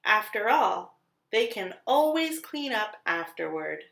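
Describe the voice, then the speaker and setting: Bright, animated woman reading a picture book. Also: medium pitch, gravelly. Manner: deliberate, dramatic emphasis on key words. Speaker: children's read-aloud storyteller. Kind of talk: expressive scripted picture-book narration. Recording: roomy, echoing sound.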